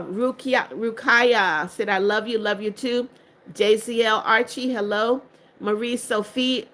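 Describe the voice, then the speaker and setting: Bright-voiced strict older woman. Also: medium pitch. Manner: strict, firm about blocking spammers. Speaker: livestream host. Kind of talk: reading out live chat comments.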